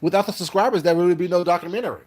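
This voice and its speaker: A man with a fairly high voice chats loosely on his livestream and greets viewers by name as he goes. He repeats words while he thinks aloud. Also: racing, a little mellow. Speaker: YouTube livestream host. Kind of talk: casual livestream chat reading comments.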